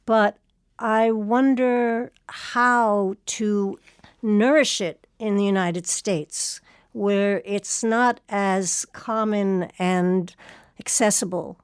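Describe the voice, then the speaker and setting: A woman interviewer speaks at an unhurried pace in a dry, close recording. She is articulate and measured, posing thoughtful questions as an arts host. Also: mid-range voice, fairly even intonation, clean tone, no room sound.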